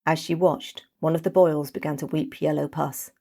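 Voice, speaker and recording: Low-voiced woman reading a story. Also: fairly even intonation, normal speed, gravelly, mellow. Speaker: author reading own short story. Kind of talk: scripted storybook narration.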